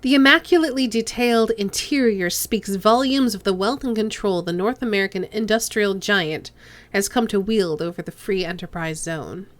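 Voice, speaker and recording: A woman's voice: medium pitch, moderate pace, soft background music. A woman streaming a game, chatting casually with viewers and reading the game's text aloud.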